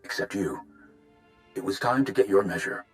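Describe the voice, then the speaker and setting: A man playing a gruff, loyal warrior and clan enforcer in a video game, speaking in a blunt, formal, measured way. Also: on the low side, faint music behind, close to an everyday voice. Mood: blunt, appraising.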